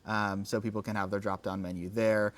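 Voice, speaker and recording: A man giving a casual live software demo, with an enthusiastic, informal, conversational manner. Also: lowish voice, little pitch movement, a little fast. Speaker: company executive presenting a livestream.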